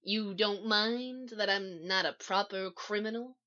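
A woman's voice: mid-range voice, measured pace, bright, forceful tone, lo-fi sound. A woman reading as a mild, tired male supervillain in a story, matter-of-fact and subdued. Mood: small, apologetic.

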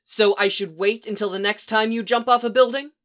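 A woman doing a stern male handler agent, protective and clipped, scolding with exasperation. The voice is bright and firm, read as a storybook part. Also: medium pitch, steady tone, a little fast, close to an everyday voice. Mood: tense.

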